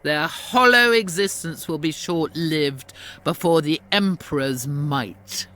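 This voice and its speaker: A devout, scornful holy warrior declaims slowly in a high voice with a hard, bright edge. The delivery is formal and righteous, as in a dramatic game cutscene. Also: male voice, slight background noise. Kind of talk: dramatic scripted game acting.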